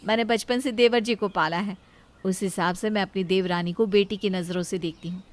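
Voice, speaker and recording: A woman voicing a caring, anxious elder sister-in-law in a moral story, gentle and pleading. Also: mid-range voice, steady tone, fairly quick, close to an everyday voice. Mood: earnest, protective. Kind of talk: Hindi moral story character dialogue.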